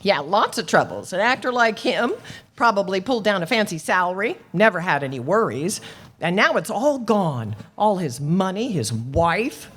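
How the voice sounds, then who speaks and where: A woman acts out an older landlady in an old-time radio play. Her medium-pitched voice moves up and down in lively swings. She is flustered and rambling, defensive and stubborn, with a soft heart underneath. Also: moderate pace, breathy edge. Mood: indignant, pleading.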